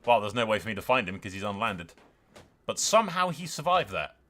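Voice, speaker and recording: A man's voice: on the low side, very animated, brisk, fairly bright tone. A man giving casual, rambling, irreverent commentary as he plays through a game, swearing freely. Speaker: gaming video host.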